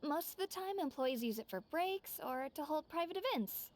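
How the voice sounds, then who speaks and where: A woman's voice: high-pitched, animated, moderate pace, slight background noise. A woman voicing an earnest, polite young female student in a game.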